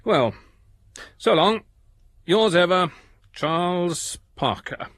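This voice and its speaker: An actor playing an earnest, methodical English detective voicing a letter in a radio drama, precise and businesslike. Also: male voice, high voice, expressive, takes it slowly, a bit bright, dry recording, studio quality, exaggerated voice. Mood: businesslike, wry.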